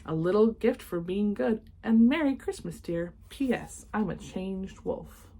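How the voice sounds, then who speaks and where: A woman reading a children's picture book aloud, expressive in the story and chatting casually and enthusiastically in asides. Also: medium pitch, a little slow, creaky. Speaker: read-aloud host.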